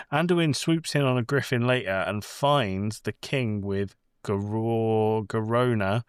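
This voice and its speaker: A man with a fairly low voice chats casually about films as a podcast co-host. He rambles through explanations with informal language and some swearing, recorded dry with little room sound. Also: clean tone, no echo. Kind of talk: casual film chat.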